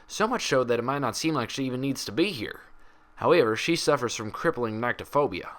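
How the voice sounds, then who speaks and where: A man's voice: medium pitch, lively intonation, brisk, fairly bright tone, voice pushed up, close to an everyday voice. Man voicing a folksy, frank cartoon pony mare doctor. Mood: frank, explaining.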